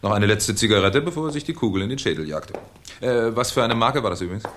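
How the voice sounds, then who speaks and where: An actor playing a shrewd, courteous French police commissioner with dry irony in a 1970s German radio play. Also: male voice, on the low side, lively intonation, fairly bright tone, a little hiss or hum. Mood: wry, commanding. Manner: polite, dryly ironic, unhurried questioning. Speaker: actor in a radio crime drama.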